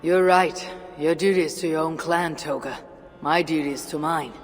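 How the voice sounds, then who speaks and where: Brief: actor as a firm, calm Viking raider, faint music behind. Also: male voice, on the high side, normal speed, lightly acted. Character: steady male Viking warrior, calm and resolute. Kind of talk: video game cutscene. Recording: game audio with reverb and music.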